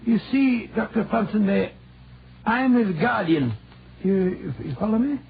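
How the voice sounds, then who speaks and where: An actor playing an earnest middle-aged gentleman posing as a Scotsman, hesitant and stammering, in 1940s radio mystery style. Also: high-pitched, a little slow, vocal fry, crowd noise. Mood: furtive, hesitant. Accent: put-on Scottish. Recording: tinny old 1940s radio recording.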